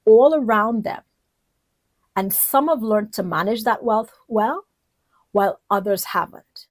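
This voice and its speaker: A woman from the Bahamas delivers a lecture in a fairly high voice. She is deliberate and emphatic, leaning on key words and putting questions to the listener. The sound is dry, with very little room in it. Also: dry recording. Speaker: political leadership lecturer. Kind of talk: instructional lecture to camera.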